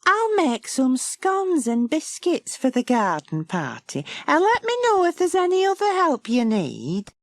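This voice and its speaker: Woman putting on a high, lilting helpful countrywoman. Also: huge pitch swings, measured pace, few pauses, no echo, clean studio sound, exaggerated voice. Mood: eager, helpful. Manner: eager, obliging. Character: cheerful, helpful village countrywoman. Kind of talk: children's storybook dialogue.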